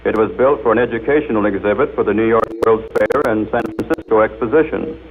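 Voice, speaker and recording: A man's voice: lowish voice, fast talker, phone-quality sound. A man speaking formally as an engineer giving a scripted demonstration on an old 1930s radio broadcast. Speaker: engineer demonstrating a speech machine.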